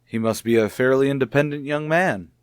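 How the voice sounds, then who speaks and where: Actor as a measured, probing male doctor, dry sound. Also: medium pitch, clear, resonant tone, no echo, close to an everyday voice. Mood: curious, probing. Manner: measured, deliberate, clinical questioning. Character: calm, probing, formal male child psychologist. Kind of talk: vintage radio soap opera acting.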